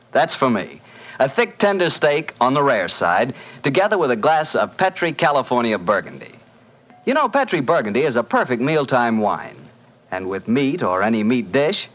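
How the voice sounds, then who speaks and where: A man's voice: mid-range voice, fairly bright tone. A man with an American accent announcing in 1940s radio style, with folksy, enthusiastic salesmanship. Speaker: radio announcer and sponsor pitchman.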